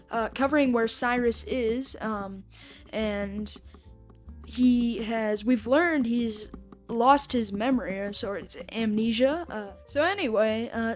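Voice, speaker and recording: A woman hosting a podcast with casual review commentary in a halting, hesitant delivery. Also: a little high. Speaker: podcast host.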